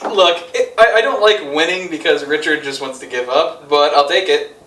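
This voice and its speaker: A man's voice: a little high, moderate pace, sharp tone, a little hiss or hum. A man co-hosting a podcast in a casual group debate, arguing in a blunt manner. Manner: argumentative, blunt. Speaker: podcast co-host. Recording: echoey room, distant from mic.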